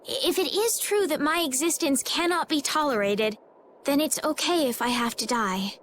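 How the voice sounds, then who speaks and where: An actress playing a gentle, determined young girl in a fantasy game, speaking in a formal, heartfelt, pleading way. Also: sits high, moderate pace, a little hiss or hum. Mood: earnest, afraid. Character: gentle, earnest teenage girl healer, politely determined. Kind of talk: dubbed fantasy game voice acting.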